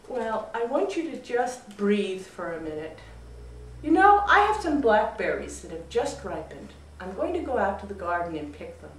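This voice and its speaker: A woman storyteller voicing a wise, patient village healer who advises in a measured, soothing way. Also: medium pitch, big pitch swings, slight background noise. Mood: patient, encouraging. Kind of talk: live storytelling. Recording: roomy echo, distant mic.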